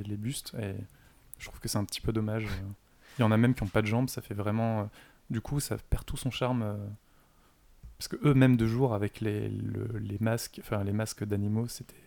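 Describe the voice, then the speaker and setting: Hesitant man with a lowish voice. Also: mellow. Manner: hesitant, many fillers and restarts. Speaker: guest. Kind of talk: casual chat.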